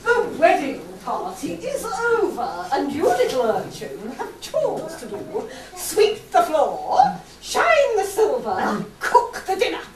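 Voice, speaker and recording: A woman's voice: extremely high pitch, wildly swooping pitch, heavily acted. A woman playing a bossy, scornful, rich wicked stepmother in theatrical amateur pantomime style. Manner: imperious, theatrical, drawn-out. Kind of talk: broad amateur pantomime stage acting. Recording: echoey hall from far off, audience noise.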